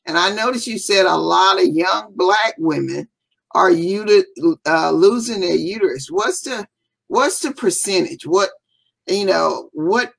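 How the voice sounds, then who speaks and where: Slow, gravelly woman hosting a live show. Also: mid-range voice, expressive. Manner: enthusiastic, chatty, addresses viewers as y'all. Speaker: summit host. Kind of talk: live interview. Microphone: video call webcam.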